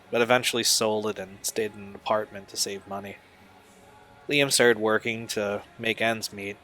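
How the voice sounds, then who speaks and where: Low-pitched, earnest, troubled young man, acted by a man. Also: bright, forceful tone. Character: Steve, troubled young man recalling a painful family past. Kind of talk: audio drama acting.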